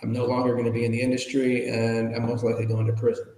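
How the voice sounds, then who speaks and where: An American man from Chicago, fifties or older, telling his own story candidly as a podcast guest in an informal, halting, emphatic way. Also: mid-range voice, monotone, mellow. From Chicago, United States. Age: 50s or older. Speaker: podcast guest, ethics trainer and speaker. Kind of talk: candid personal storytelling in an interview. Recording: roomy, echoing reverberant room.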